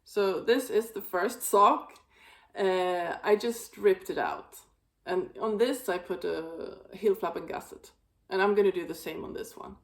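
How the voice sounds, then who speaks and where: A woman hosting a knitting video, chatting casually about her projects, with a slightly raspy voice and a rambling, filler-heavy way of talking. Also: mid-range voice, a little slow. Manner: rambling, many fillers and restarts. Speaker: knitting podcast host.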